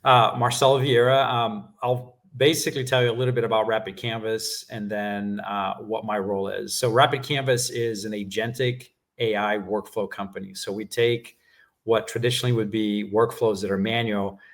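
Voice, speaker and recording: A man whose first language is Portuguese, calmly explaining his work as a guest in a business interview, with frequent fillers. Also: fairly low pitch. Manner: measured explanations with frequent "uh" and "right?". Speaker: guest executive.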